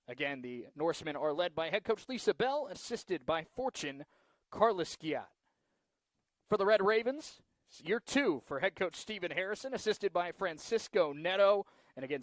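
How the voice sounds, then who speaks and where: A man calls a soccer broadcast in a fairly high voice. He reads out lineups in measured phrases, leaning on the start of each one. The sound is dry, with very little room to it. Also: no echo. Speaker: sports play-by-play announcer. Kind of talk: live soccer broadcast commentary, reading lineups.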